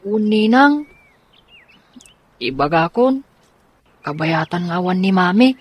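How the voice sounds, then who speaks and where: A woman's voice: on the low side, expressive, slow, breathy edge, exaggerated voice. A woman playing a polite, earnest school-age child in a radio drama, with an Ilocano accent.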